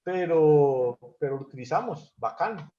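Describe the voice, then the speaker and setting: Colombian man, Paisa accent, slightly high, relaxed webinar guest; echoey, dull call audio. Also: normal speed. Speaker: guest speaker. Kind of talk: casual webinar chat. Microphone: video call.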